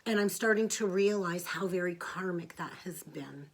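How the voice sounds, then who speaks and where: A woman's voice: fairly low pitch, moderate pace. Blunt, frank woman giving a tarot forecast. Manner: blunt, frank and emphatic, with salty language. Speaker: tarot reader. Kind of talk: spiritual forecast monologue.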